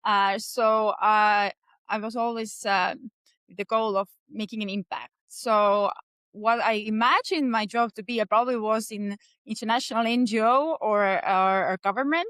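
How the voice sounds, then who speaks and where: A woman's voice: medium pitch, fairly even intonation, normal speed, a bit bright, clean tone, no echo. A woman in her thirties with an Estonian accent, answering interview questions earnestly with many hesitations. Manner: frequent hesitations and restarts, earnest. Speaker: podcast guest.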